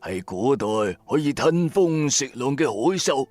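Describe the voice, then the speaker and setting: A man's voice: medium pitch, animated, unhurried, a little mellow, soft background music. A man voicing a sly old blind fortune-teller, a preachy mystic with a theatrical, persuasive manner, in an audio drama. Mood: ominous, persuasive. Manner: theatrical, persuasive, self-righteous.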